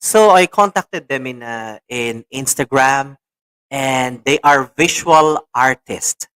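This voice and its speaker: A man's voice: mid-range voice, slow, a bit bright, clear, resonant tone, poor audio. A man hosting an online talk show, enthusiastic and repetitive, with many fillers. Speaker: show host.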